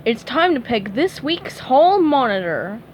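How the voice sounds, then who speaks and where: A woman's voice: high voice, big pitch swings, measured pace, slight background noise. A woman doing a flustered fish teacher. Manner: flustered, sighing, exasperated. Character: weary exasperated female pufferfish teacher. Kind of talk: storybook read-aloud.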